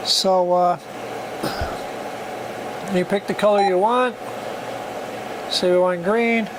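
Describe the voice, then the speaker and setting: Man, high-pitched voice, informal gadget walkthrough, plainspoken, very noisy amateur recording. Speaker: product reviewer demonstrating a CB radio. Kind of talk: casual hands-on demonstration. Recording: noisy amateur recording, loud background hum.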